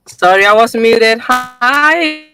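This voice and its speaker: A woman's voice: a little high, slow pace. A woman with a Nigerian accent introducing herself as a panelist on an online call.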